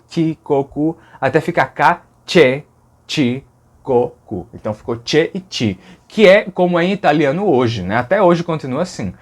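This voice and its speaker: A man teaching a lesson in a conversational way, often tagging "né?" and sounding out speech examples. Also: on the high side. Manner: conversational, fillers like "né?", demonstrates sounds aloud. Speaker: language educator. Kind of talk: explanatory video lesson.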